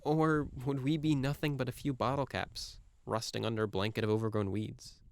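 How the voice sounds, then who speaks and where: A man's voice: medium pitch, little pitch movement, normal speed, creaky, clean tone, dry recording. A man performing a scripted first-person monologue in a reflective, musing manner with a wry edge.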